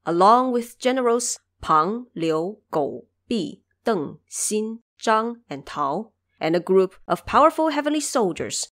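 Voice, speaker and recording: A woman's voice: mid-range voice, a bit bright. A woman narrating an audio drama in a scripted, dramatic storytelling style.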